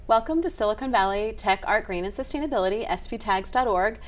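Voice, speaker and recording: A woman's voice: medium pitch, quick pace, over loud music. A woman hosting a talk show, asking questions in a friendly, curious way.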